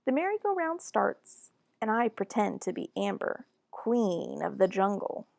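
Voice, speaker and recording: A woman reading a children's storybook aloud in an expressive, deliberate and animated narration. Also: mid-range voice, gravelly, dry recording. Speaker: children's book reader.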